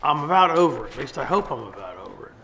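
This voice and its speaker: Gravelly-voiced man chairing a meeting. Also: medium pitch, sing-song, racing, sharp tone, slight background noise. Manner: plain, procedural, brief asides. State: gravelly from a cold. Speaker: mayor chairing a council meeting.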